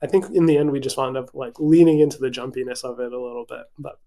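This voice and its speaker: A man's voice: medium pitch, fairly even intonation, quick pace, warm tone. A young man from Hawaii, a literary translator speaking casually on a video call, hesitant with many fillers and restarts. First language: English. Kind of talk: casual virtual event conversation.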